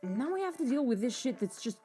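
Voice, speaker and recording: A woman acts out a jaded, grumbling schemer in a comic drama. The rant is exasperated and animated in pitch, with faint music underneath. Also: fairly quick. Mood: exasperated, doubtful. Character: grumbling, disillusioned political schemer with grudges. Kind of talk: hobby voiced comic drama.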